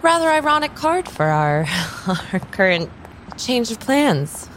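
A woman's voice: on the high side, big pitch swings, unhurried, a bit bright, a little hiss or hum. A woman playing an easygoing, slightly flustered tarot reader in an improvised audio drama, speaking in hesitant, agreeable starts. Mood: amused, hesitant.

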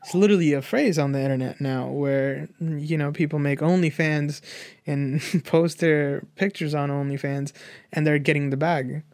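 A man's voice: fairly high pitch, fairly even intonation, normal speed, a little mellow, no room sound, clean studio sound. A man in his twenties from Nepal, giving his opinions in a casual, earnest, rambling conversational way as a guest. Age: 20s. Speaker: interview guest.

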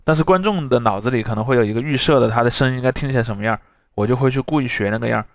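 A man talks casually as a podcast host, laying out examples as he goes. His pitch sits in the middle and his pace is brisk. The sound is dry, with very little room in it. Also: no echo. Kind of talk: casual chat, explaining with examples.

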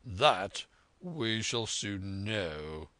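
A man voicing a calm, measured, dryly authoritative gentleman doctor in a storybook reading, composed and deliberate. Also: on the low side, no echo, full character voice. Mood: measured, probing. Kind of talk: audiobook character voice.

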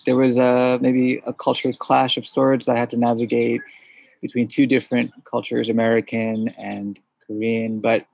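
A man from suburban New York, a podcast guest who answers thoughtfully with hesitant pauses. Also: mid-range voice, monotone, creaky, a little mellow, no echo. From suburbs of New York City. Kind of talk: interview conversation.

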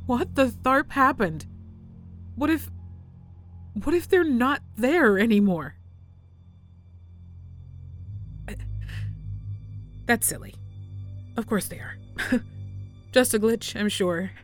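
An actress playing a lonely, fearful woman recording a reflective, halting log in a science-fiction audio drama. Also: fairly high pitch, soft background music. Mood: anxious, afraid.

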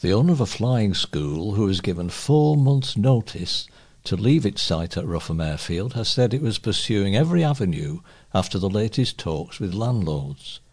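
Husky, low-pitched man reading local news. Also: sing-song, normal speed, darkish tone. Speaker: volunteer newspaper reader.

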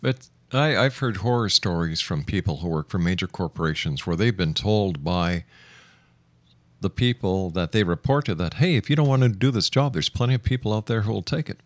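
A man's voice: low voice, expressive, a little fast, dry recording. A man hosting a radio interview, putting skeptical, probing questions to his guest. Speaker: radio show host and interviewer.

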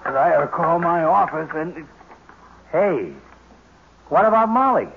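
An actor playing a jovial, gluttonous doctor with effusive, hammy delivery in 1940s radio comedy style. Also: male voice, on the high side, lively intonation, measured pace, vocal fry. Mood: blissful, stuffed. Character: jovial, gluttonous doctor, the husband's bantering friend. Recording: very noisy, dull old radio recording.

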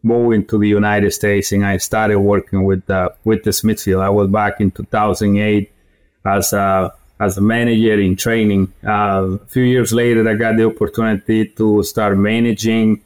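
Man, low and flat. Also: clean tone. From Guatemala. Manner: halting, many fillers and restarts. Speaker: podcast guest, feed industry director. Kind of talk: conversational interview answers.

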